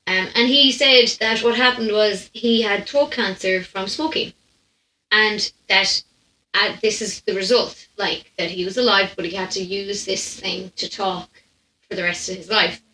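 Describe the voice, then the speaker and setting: Young irish woman podcasting, bright voice, chatty off the cuff storytelling w lots of tangents, bit of room echo. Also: mid-range voice, steady tone, a little slow. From Ireland. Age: young adult. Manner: chatty, rambling with asides and self-interruptions. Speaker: podcast host. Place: small room at home, indoors. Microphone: microphone on a stand, close in front. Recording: roomy home recording.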